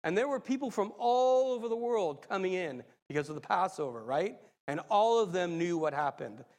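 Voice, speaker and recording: A man preaching a sermon, a little raspy and hoarse from a cold, excitable and laughing as he talks. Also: sits high, moderate pace, in a large hall. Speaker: lay preacher.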